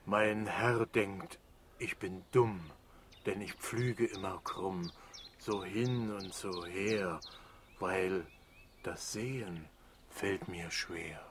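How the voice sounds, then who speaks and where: An actor playing a short-sighted, good-natured plough horse, plain-spoken and often rhyming, in a children's radio play. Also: male voice, deep voice, very slow, a bit bright, slight background noise, full character voice. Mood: earnest.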